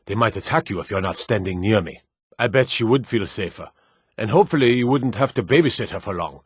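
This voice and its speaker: An actor playing a stern, dutiful fantasy guard and soldier in a video game, formal and commanding, with measured delivery. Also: male voice, lowish voice, lively intonation, slightly rough, dry recording, clean studio sound. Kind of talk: scripted fantasy game dialogue.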